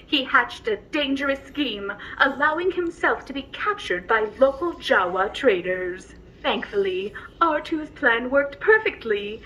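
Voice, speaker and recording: A woman's voice: fairly high pitch, fairly bright tone, a little hiss or hum, poor audio. A woman playing an earnest, polite logistics droid who speaks formally and tells bedtime stories to a passenger. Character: earnest, grateful female logistics droid, eager bedtime storyteller. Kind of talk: scripted character dialogue and storytelling.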